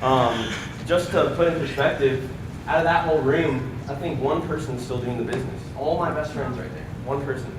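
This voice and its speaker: A man's voice: medium pitch, moderate pace. Young American guy, casual stage testimonial, filler-heavy; roomy hall sound, audible background noise. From United States. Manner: casual, many fillers and "right?" tags. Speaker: speaker at a sales event. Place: large event room before an audience. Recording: echoey room with background noise.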